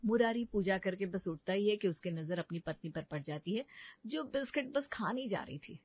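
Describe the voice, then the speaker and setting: A woman's voice: medium pitch, animated, normal speed, no room sound, lo-fi sound. A woman reading scripted narration for a comic family story.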